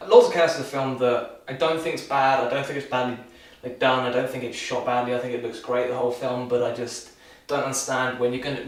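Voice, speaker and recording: Young man rambling in an echoey room. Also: mid-range voice. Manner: loose and chatty with frequent restarts and dry sarcasm. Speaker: vlogger. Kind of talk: casual rambling film review. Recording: echoey room, mic far off.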